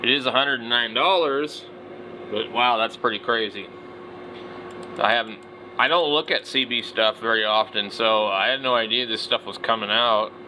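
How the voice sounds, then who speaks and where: A man's voice: medium pitch, moderate pace, pushed and bright, slight background noise, low-quality recording. A middle-aged man giving casual, unscripted commentary as a hobby vlogger, blunt and opinionated, with some slangy wording.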